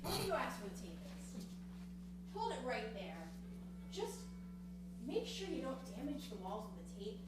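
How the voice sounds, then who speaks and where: A woman's voice: on the high side, big pitch swings, moderate pace, long pauses, very noisy. A woman playing a chatty, bossy teenage girl matchmaker in a dramatic reading on a theatre stage. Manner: bossy, persuasive. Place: theatre stage, recorded from far off. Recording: very echoey theatre, distant mic, loud music.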